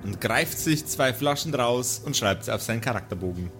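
A man's voice: medium pitch, animated, normal speed, a bit bright. A man acting as game master, narrating an improvised tabletop role-play in mock-formal storyteller phrasing, with music behind him. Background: prominent background music.

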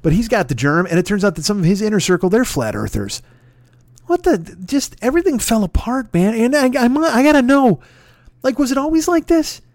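High-voiced man ranting profanely. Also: very animated, a little fast, a little mellow, no echo. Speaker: podcast host. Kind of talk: profane comedic rant.

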